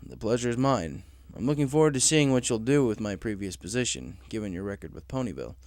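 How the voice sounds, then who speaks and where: A man's voice: fairly low pitch, lively intonation, quick pace, a little mellow, dry recording, clean studio sound, lightly acted. A man voicing a serious, work-driven male pegasus factory supervisor in a story, speaking formally and with measure. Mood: stern, approving.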